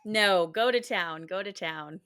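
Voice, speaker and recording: A woman's voice: medium pitch, a little slow, fairly bright tone, clear, resonant tone, dry recording, studio quality. A woman hosting a podcast, talking in an informal, chatty way. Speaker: podcast host. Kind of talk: casual podcast introduction and interview chat.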